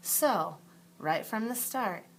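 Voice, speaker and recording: A woman teacher reading a picture book aloud to young children in a deliberate, emphatic storytelling way, with asides to her pupils. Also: medium pitch, animated, gravelly, slight background noise. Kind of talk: expressive picture-book read-aloud for second graders.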